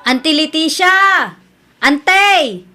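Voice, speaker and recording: A woman's voice: high-pitched, lively intonation, slow pace, pushed and bright, heavily acted. A woman with an Ilocano Filipino accent playing a polite, bubbly young niece in a radio drama. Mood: polite, cheerful. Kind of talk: radio drama acting and show hosting.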